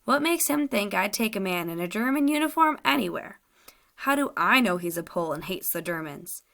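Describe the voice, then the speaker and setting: A woman's voice: medium pitch, expressive, brisk, creaky, fairly bright tone. Woman voicing stern uncle, commanding, severe, suspicious, storybook. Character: stern commanding older uncle, boat captain.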